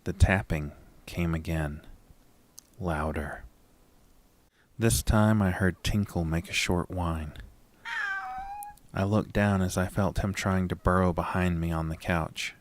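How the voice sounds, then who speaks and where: A man playing an anxious, haunted narrator in a first-person horror audio drama, deliberate and suspenseful. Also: low voice, fairly even intonation, a little hiss or hum. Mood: tense dread.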